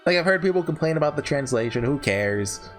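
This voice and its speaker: A man hosting a casual, unscripted ranking video, talking in a rambling, halting way and often correcting himself. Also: a little high, expressive, faint music behind. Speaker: video host ranking visual novels. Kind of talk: casual unscripted tier-list commentary.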